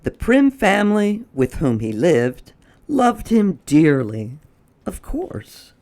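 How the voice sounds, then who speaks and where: Slow, lively, creaky children's storyteller. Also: medium pitch. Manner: expressive, deliberate. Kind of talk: children's read-aloud narration.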